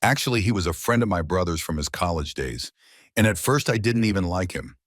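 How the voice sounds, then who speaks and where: A man reading everyday English phrases clearly and carefully for learners. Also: deep voice, expressive, dry recording, studio quality. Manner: clear, careful, measured delivery. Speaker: phrase reader.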